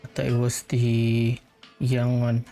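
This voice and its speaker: A man giving casual, low-key commentary while playing a video game, murmuring short reactions. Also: monotone, slow, dark tone. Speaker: gaming streamer. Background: game music.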